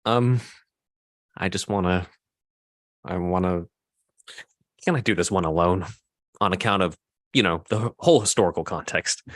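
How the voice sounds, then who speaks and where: A man reads an awkward young pie maker with a very low voice, recorded close and dry. He is guarded and understated, hesitating as he dodges questions at a table read. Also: normal speed, long pauses, dry recording, lightly acted.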